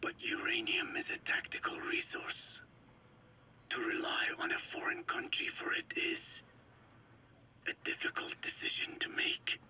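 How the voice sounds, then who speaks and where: An actor playing a frail, regretful elderly Navajo scientist in a video game, speaking slowly and solemnly in a breathy, whispery rasp. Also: male voice, bright, forceful tone. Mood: weary, resigned. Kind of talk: dramatic video game voice acting.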